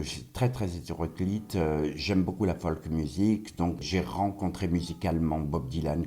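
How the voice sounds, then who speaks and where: A man's voice: very deep voice, dark tone, slightly rough. A middle-aged man from France talking casually and reflectively as a singer-songwriter guest in a radio interview, often in short phrases.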